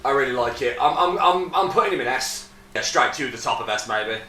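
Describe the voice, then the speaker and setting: A man's voice: medium pitch, lively intonation, fairly quick, pushed and bright, recorded from a distance. A man chatting casually with friends, blunt and sweary, often repeating himself as he hosts a discussion. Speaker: host of a tier-list discussion with friends. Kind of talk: casual banter among friends. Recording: roomy, off-mic, faint background noise.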